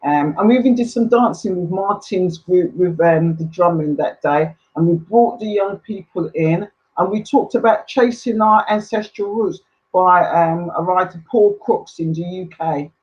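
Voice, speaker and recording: A woman's voice: fairly low pitch, moderate pace, darkish tone. A woman giving an enthusiastic, semi-formal presentation as a community group speaker on an online call. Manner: enthusiastic, emotive. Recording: dull lo-fi online call audio.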